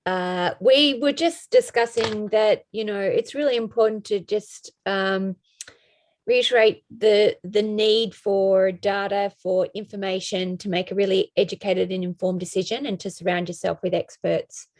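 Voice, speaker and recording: A woman's voice: medium pitch, steady tone, a little slow, a little mellow, dry recording. A woman on a video call, earnestly explaining why buyers need experts. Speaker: buyer's advocate. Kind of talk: casual video-call discussion.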